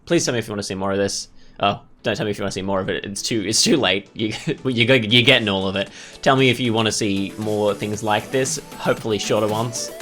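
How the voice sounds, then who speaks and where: Low-voiced man rambling through game commentary. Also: fast talker, fairly bright tone, faint music behind. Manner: rambling, thinking aloud, mildly profane. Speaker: gaming video host. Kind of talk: casual let's play commentary.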